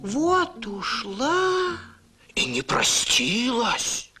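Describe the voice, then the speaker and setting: A woman's voice: on the high side, huge pitch swings, very slow, pushed and bright, faint music behind, heavily acted. A woman voicing a fussy female ball of yarn in a children's cartoon, reciting verse theatrically. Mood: disapproving.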